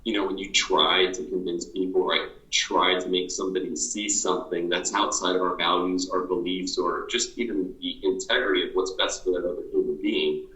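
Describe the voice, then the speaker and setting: A man's voice: low voice, level tone, normal speed, sharp tone, echoey room, poor audio. A man interviewing a guest in an earnest, motivational way, asking questions over and over in slightly different words.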